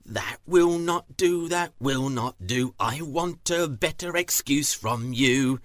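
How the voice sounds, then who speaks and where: A man's voice: medium pitch, lively intonation, measured pace, fairly bright tone, breathy edge, no room sound. A man telling a children's story, playing an indignant, suspicious farmer with an emphatic, demanding manner. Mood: stern, indignant.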